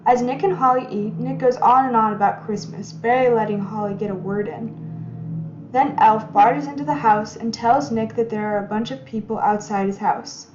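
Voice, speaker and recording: A woman's voice: a little high, steady tone, moderate pace, music in the background. A woman reading scripted recap narration as a narrator in a radio drama. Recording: echoey, muffled, noticeable background noise.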